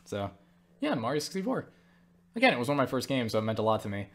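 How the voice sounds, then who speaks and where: A man's voice: medium pitch, wildly swooping pitch, fast, a bit bright. A man talking in a casual, rambling monologue as he answers viewer questions, stumbling over words, interrupting himself and speaking bluntly. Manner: blunt, swears freely.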